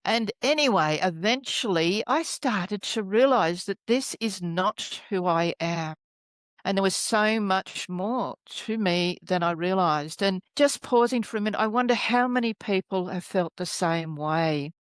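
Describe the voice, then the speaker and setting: A middle-aged woman, a healer guest, telling her story in a soft, rambling way as she searches for her words. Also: medium pitch, unhurried, no room sound. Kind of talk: reflective storytelling interview.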